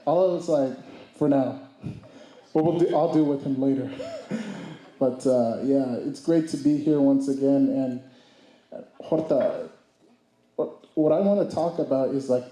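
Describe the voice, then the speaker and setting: A man in his twenties giving a speech at a community summit, halting with restarts and mixing in Somali phrases. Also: medium pitch, mellow, echoey room, low-quality recording. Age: 20s. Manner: hesitant, many restarts and fillers; mixes Somali phrases into English. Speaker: speaker. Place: event hall.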